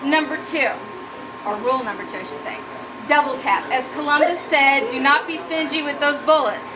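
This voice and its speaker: A woman giving a humorous prepared speech as a student, with a nervous, giggly delivery. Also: moderate pace. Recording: muffled low-quality audio, noticeable background noise.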